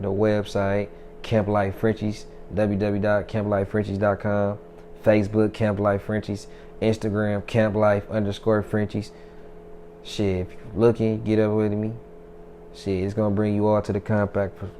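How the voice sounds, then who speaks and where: Rapper guest, twenties, low steady voice, loose swaggering street talk, light background noise. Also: male voice. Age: 20s. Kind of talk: casual interview talk.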